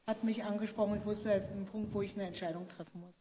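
A woman working as a missionary to Cambodia, telling her conversion story softly, with her sentences fading away. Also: mid-range voice, steady tone, fast talker. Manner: soft-spoken, trailing off quietly. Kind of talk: personal testimony in an interview. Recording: faint background noise.

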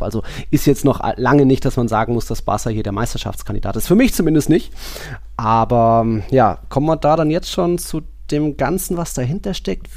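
A man's voice: mid-range voice, dark tone, no room sound. A man co-hosting a football podcast, talking in a colloquial, wry, rambling way. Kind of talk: casual podcast discussion.

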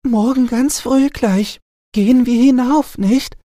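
A man's voice: very high-pitched, slow pace, warm tone, dry recording, clean studio sound, voice pushed up. A man voicing a headstrong, indignant little girl with an emphatic, determined manner in a storybook reading. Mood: eager.

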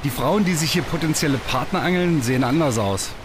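A man's voice: on the high side, lively intonation, brisk, background noise, natural voice. A man playing an awkward, nervous young stage mentalist in a science-fiction radio play, stammering and hesitant. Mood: flustered. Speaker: voice actor in an audio drama.

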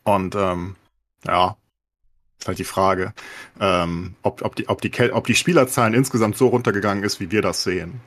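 Male podcast co-host, lowish husky voice, casual and opinionated, peppers with English gamer terms. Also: fairly even intonation, normal speed, fairly bright tone. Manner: mixes English gaming slang into German. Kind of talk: casual opinionated gaming chat.

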